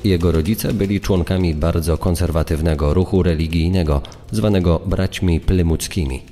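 A man with a very deep, dark voice reads formal documentary narration from a script. A long reverb trails his words. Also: moderate pace, faint music behind. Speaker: voice-over reader of quotations.

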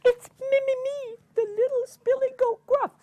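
A woman voicing a tiny, frightened billy goat who pleads in a very high squeak. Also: steady tone, moderate pace, dark tone. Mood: pleading scared.

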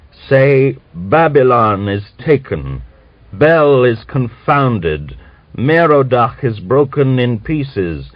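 A man voicing a pious, bitter old man of nearly a hundred, who scolds and preaches and reads scripture slowly and solemnly. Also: medium pitch, no room sound, pitched above the performer's own voice. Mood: solemn reading. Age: older. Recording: dull dry recording.

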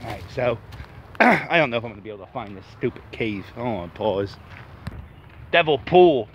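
A man's voice: mid-range voice, animated, moderate pace, a little hiss or hum. A man in his thirties from Philadelphia, vlogging while walking through a wooded park, talking to himself in an anxious, joking ramble into a phone held at arm's length. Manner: anxious rambling self-talk, jokey. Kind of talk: walking selfie vlog.

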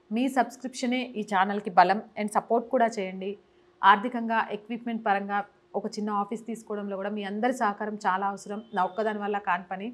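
Female journalist host, 30s, fast emphatic news read, lapel mic, small studio. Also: medium pitch. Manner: brisk, emphatic newsreader delivery. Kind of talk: news presentation to camera.

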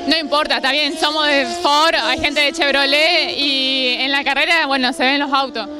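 A woman's voice: high-pitched, fairly even intonation, normal speed. A young Argentine woman at the track, answering into a handheld mic and speaking enthusiastically in a stream of short phrases. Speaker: race fan. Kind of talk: outdoor interview answers. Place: outdoors at a racetrack campsite. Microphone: reporter's handheld mic.

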